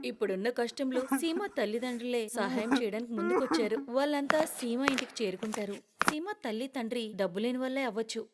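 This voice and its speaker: A woman reading scripted narration for a cartoon moral story. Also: a little high.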